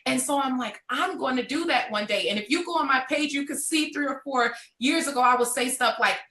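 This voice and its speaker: A woman around thirty, a network marketing entrepreneur, telling her success story in an animated, motivational way during a live conversation. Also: high voice, fairly quick, roomy sound.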